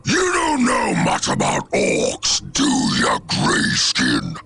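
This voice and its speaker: A brutish ork warboss from a video game with a rough Cockney accent. The growl is gravelly and pitched high, swinging wildly as he bellows crude boasts and orders. Noise sits behind the voice. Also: huge pitch swings, slow pace, bright, forceful tone, exaggerated voice. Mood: belligerent. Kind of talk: video game character acting.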